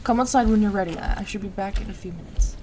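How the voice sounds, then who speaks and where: A woman playing a distressed, apologetic but stubborn young woman in an intimate audio roleplay, stammering and halting. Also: medium pitch, noisy background, natural voice. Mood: hurried, caring. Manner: halting, stammering, breathy asides to a partner.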